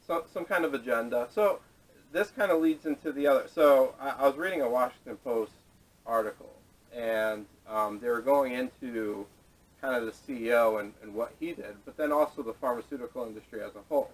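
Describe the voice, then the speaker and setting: A man in a casual podcast discussion, explaining in a measured way, picked up by a distant camera microphone. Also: medium pitch. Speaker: podcast host. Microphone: camera audio, away from speaker.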